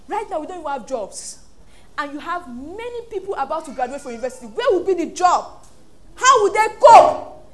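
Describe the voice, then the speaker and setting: Nigerian woman, pastor, sermon, animated, acts out voices, high voice.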